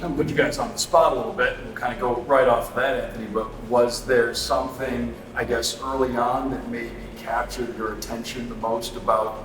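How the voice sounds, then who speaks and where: A male sports interviewer speaks in an echoey, reverberant space, a little away from the mic. His pitch is average and he lays out long, measured questions in a conversational way. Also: a bit bright, distant mic, a little hiss or hum. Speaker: interviewer, sports radio play-by-play host.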